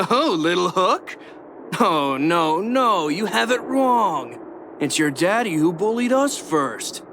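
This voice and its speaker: An actor playing a sardonic, bullying miner thug in a video game, taunting and mocking. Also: male voice, sits high, big pitch swings, slow, noisy background, exaggerated voice. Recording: game music behind.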